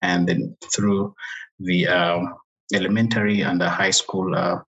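Low-voiced man. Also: little pitch movement, a bit bright, lo-fi sound. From Uganda. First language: Luganda. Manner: measured, pauses often with "uh". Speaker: guest. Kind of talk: interview conversation.

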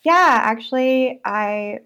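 A woman in her twenties from Vermont, answering interview questions as an athlete guest in a steady, articulate way. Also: fairly high pitch, slow pace, clean tone. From Vermont, United States. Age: 20s. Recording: lo-fi remote call line.

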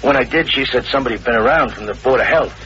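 A man's voice: mid-range voice, rapid-fire, fairly bright tone, lightly acted. A man playing a worried, working-class young father and taxi driver in a radio drama, hesitant and plain-spoken. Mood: earnest, uneasy. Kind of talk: old-time radio drama dialogue. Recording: muffled, noisy vintage radio recording.

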